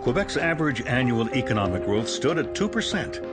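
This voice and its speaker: Male promo voice-over, polished and lively, over a clear music bed. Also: medium pitch, fairly quick. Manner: polished, upbeat announcer delivery. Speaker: narrator. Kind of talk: scripted promotional narration.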